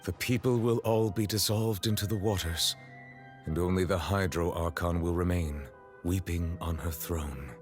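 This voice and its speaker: A man voicing a deep, solemn formal judge. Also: fairly even intonation, moderate pace, music in the background, close to an everyday voice. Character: grave, formal male chief justice. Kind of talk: video game dialogue.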